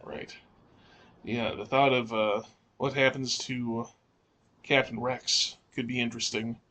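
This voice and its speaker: A man's voice: medium pitch, level tone, slow, bright, forceful tone. A man co-hosting a podcast in casual discussion, adding thoughts and posing questions. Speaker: podcast co-host. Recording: faint background noise.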